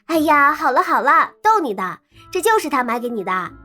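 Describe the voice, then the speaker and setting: A lively young student played by a woman with a high, swooping voice. She teases and wheedles like a gossip-hungry roommate in an audio drama, over soft music. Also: a little slow, exaggerated voice. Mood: playful, teasing. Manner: teasing, animated, wheedling. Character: lively, teasing young female college student, romance-obsessed gossip. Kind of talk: Chinese audio drama acting.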